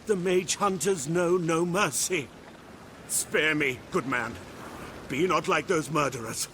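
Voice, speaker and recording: An actor playing a cowardly merchant in a video game, pleading in a whimpering, wheedling manner. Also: male voice, on the high side, takes it slowly, noisy background. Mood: terrified pleading. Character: cowardly, pleading male merchant begging for mercy.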